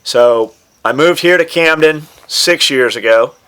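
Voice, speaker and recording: A man's voice: a little high, measured pace, fairly bright tone, smooth, rough recording. A man who owns a business, chatting offhandedly and with casual swearing as he tells its story. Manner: offhand, profane. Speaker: gear maker and business owner. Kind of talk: casual storytelling about his company.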